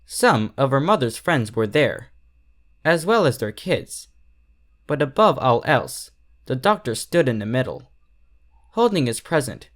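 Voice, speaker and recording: A man's voice: mid-range voice, very animated, measured pace, long pauses, fairly bright tone. A man reading a story aloud as scripted narration. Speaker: fan fiction reader.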